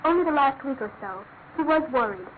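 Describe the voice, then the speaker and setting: High-voiced anguished British fiancée, old radio. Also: female voice, lively intonation. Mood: subdued, earnest. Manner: emphatic, halting, earnest. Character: anguished, loyal young bereaved fiancée, earnest and refined. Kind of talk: classic radio drama acting. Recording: noisy, narrow-band old radio recording.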